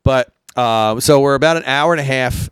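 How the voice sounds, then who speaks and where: A man's voice: mid-range voice, normal speed, clean tone, no echo. A man hosting a casual podcast chat, rambling and teasing his guests. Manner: chatty, rambling with restarts and teasing. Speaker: podcast host. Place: small home studio.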